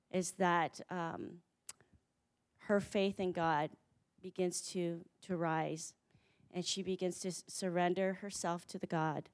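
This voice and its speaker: Lower-voiced woman introducing a speaker. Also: a little mellow. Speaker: event host introducing the speaker. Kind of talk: warm spoken introduction.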